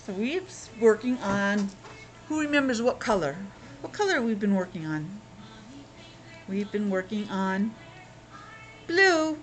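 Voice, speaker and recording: A woman's voice: medium pitch, big pitch swings, measured pace, long pauses, faint music behind, rough recording. A woman teaching young children in a playful, exclamatory way, asking questions and sounding out words. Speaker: preschool teacher. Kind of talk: interactive lesson for young children.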